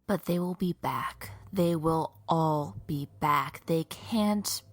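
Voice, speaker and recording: A woman playing a devout, defiant young warrior in a fantasy audio drama, speaking earnestly and with deliberate conviction. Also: low-pitched. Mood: troubled.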